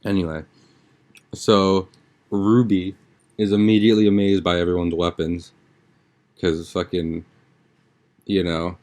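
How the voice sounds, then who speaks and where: A man's voice: low-pitched, level tone, measured pace, long pauses, a little mellow. A young man chatting casually as a podcast host, with an informal, slangy, rambling way of talking. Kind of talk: casual podcast chat, episode recap.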